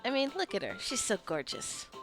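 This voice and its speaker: A woman's voice: fairly high pitch, huge pitch swings, brisk, music in the background. A woman giving casual, rambling gameplay commentary, drifting into chatty asides as she goes. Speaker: gaming video creator.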